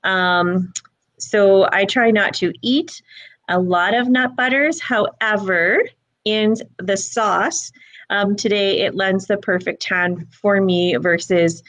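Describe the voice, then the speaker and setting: A woman's voice: mid-range voice, slow pace, fairly bright tone, no room sound. A woman from Alberta, Canada, a recipe creator co-hosting a live cooking stream, chatty and prone to repeating words as she starts a thought. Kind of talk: live-streamed casual chat.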